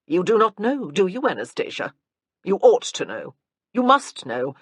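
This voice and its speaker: A woman reads a fussy, hovering aunt who pesters with questions. The storybook voice swings widely in pitch and sounds a little husky. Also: sing-song, moderate pace, no room sound, clean studio sound. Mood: hectoring. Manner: pestering, shrill. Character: fussy, hectoring spinster aunt.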